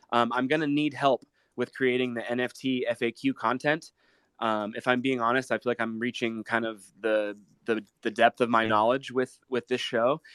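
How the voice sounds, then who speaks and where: A man with an American accent chatting casually as a musician guest, in an earnest, halting, appreciative way. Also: mid-range voice, a bit bright, smooth, dry recording. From Ohio, United States. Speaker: guest musician, guitarist and pop artist. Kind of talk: casual live interview chat.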